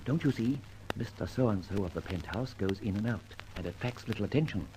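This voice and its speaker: An actor playing a dashing, flippant gentleman adventurer in an old-time radio detective drama, with a theatrical, wry and wordy manner. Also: lowish voice, animated, fairly quick, noisy background, close to an everyday voice. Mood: brisk, confident.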